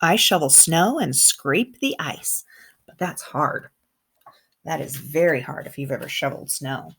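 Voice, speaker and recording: Female kindergarten teacher, storytime read-aloud; unhurried pace, slightly husky voice, simple asides for little listeners. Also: low voice. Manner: animated, simple wording, pauses to comment and question. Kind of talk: expressive picture-book read-aloud for young children, with asides.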